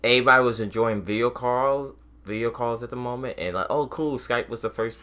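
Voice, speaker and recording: A man's voice: mid-range voice, a little fast, pushed and bright. A man co-hosting a podcast, talking casually in a matter-of-fact way. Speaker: podcast co-host.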